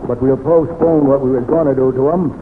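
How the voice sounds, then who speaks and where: An actor playing a wary, bossy outlaw gang leader in an old-time radio western, tough and folksy in manner. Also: male voice, mid-range voice, fairly even intonation, brisk, close to an everyday voice. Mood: commanding. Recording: tinny, reverberant, noisy old radio recording.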